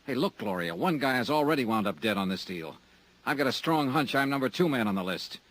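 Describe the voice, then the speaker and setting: An actor playing a sardonic, hard-boiled insurance investigator in a 1950s radio drama, clipped and wisecracking. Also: male voice, medium pitch, lively intonation, a little fast, fairly bright tone, natural voice, poor audio. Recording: old radio broadcast recording.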